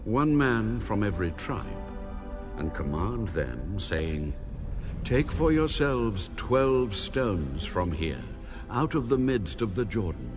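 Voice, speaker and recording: Audio Bible God: very slow, solemn, commanding, sweeping intonation over prominent music. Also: male voice, on the low side, big pitch swings. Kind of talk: dramatized audio Bible acting.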